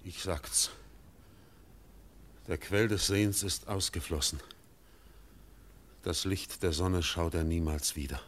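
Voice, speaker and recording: An actor playing a solemn middle-aged nobleman bearing bad news in a classic German radio play, measured and grave. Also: low voice. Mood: sorrowful.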